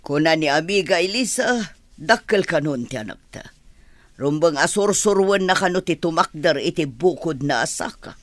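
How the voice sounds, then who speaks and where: A man playing a fretful middle-aged mother, protective and animated, in a radio comedy drama. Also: fairly high pitch, normal speed, no echo. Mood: exasperated.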